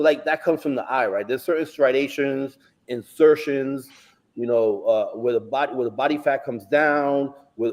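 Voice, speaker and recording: A middle-aged bodybuilding coach who stutters, talking emphatically in casual chat and swearing often. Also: male voice, medium pitch, a little mellow.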